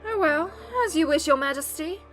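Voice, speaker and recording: A woman voicing a sly, smug female villain in an animated series, with taunting, sarcastic, drawn-out delivery. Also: high-pitched, soft background music, rough recording. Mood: mocking, menacing. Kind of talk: animated web series voice acting.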